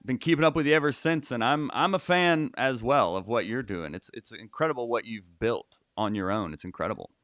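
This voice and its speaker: A man with a smooth, resonant voice at an ordinary pitch hosts a comedy podcast. He builds up his guest with eager, show-style introductions, recorded close and dry. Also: a little fast, few pauses, fairly bright tone, no echo. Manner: enthusiastic, hype-building introductions. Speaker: podcast host. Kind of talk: casual podcast chat.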